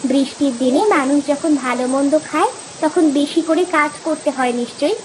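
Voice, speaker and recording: A woman playing a bossy, sharp-tongued village housewife who scolds in a cartoon story. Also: sits high, fairly quick, slight background noise, natural voice, rough recording. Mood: nagging. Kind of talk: animated moral-story cartoon dialogue.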